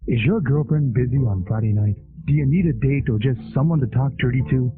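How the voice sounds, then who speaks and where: A man's voice: mid-range voice, fairly quick, music in the background, lightly acted. Tinny man acting as radio ad announcer. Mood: salesy. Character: straight-faced announcer of sleazy phone-chat radio commercial. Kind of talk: parody radio advert read. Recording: tinny, narrow-band lo-fi audio.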